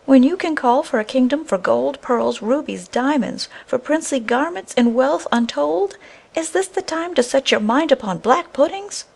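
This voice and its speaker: A woman voicing a sharp-tongued young peasant wife in a storybook tale, scornful and exclamatory in old-fashioned phrasing. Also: high-pitched, lively intonation, normal speed, vocal fry, voice pushed up. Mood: scornful, angry. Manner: scolding, exclamatory, archaic phrasing.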